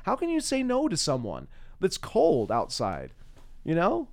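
A man's voice: on the high side, wildly swooping pitch, a little slow, vocal fry, a little mellow. A man hosting a podcast, chatting informally and laughing easily as he interviews a guest. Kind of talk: casual interview chat.

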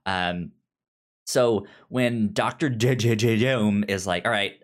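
A podcast co-host chatting casually about comics, stammering and often repeating the starts of words. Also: medium pitch. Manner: halting, stammering, repeats word beginnings. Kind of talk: casual comic book discussion.

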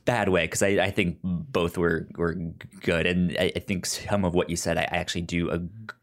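A man hosting a podcast in casual chat, with a halting delivery full of stammered restarts. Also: deep voice, little pitch movement. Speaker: podcast host. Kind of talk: casual chat about comics and film.

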